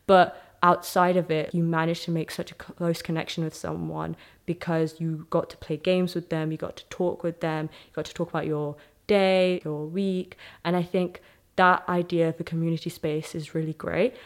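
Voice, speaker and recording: A young woman from Ethiopia speaks in a slightly low voice. She tells her stories casually and chattily, often filling gaps with "like." Her tone stays relaxed and conversational. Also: moderate pace. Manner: chatty, frequent "like" fillers, warm recollection. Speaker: podcast host being interviewed.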